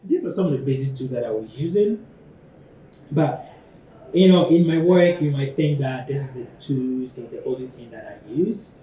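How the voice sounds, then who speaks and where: Ghanaian-accented man, mellow and reflective. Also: medium pitch, expressive, poor audio. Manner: thoughtful, halting, many "you know" fillers. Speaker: ceramic artist. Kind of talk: reflective studio talk.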